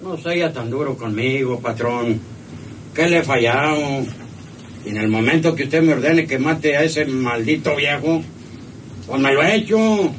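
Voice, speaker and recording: A man's voice: medium pitch, a little slow, close to an everyday voice. Man playing cowardly ranch henchman, Mexican accent, hesitant, eager to please, radionovela, faint noise. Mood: pleading, vengeful. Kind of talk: radionovela acting. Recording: noisy old radio serial recording.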